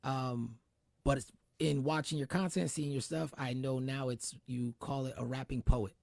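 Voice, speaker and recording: A man's voice: medium pitch, steady tone, moderate pace, gravelly, darkish tone, no echo, studio quality. A man from New Jersey hosting a casual interview, chatty and full of restarts and fillers. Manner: chatty, many fillers and self-corrections. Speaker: host.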